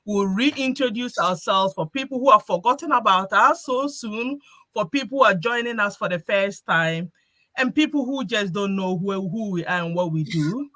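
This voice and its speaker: Woman host, bright tense voice, dry close sound, chatty. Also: mid-range voice, animated, normal speed, no echo. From Ghana. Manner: chatty, restarts phrases often. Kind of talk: live-stream group chat.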